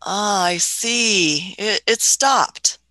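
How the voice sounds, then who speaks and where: A woman's voice: mid-range voice, extremely slow, sharp tone, no echo. A woman playing a canny, politely skeptical traveling doctor in a radio play, hesitant and old-fashioned in manner. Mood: wry, probing.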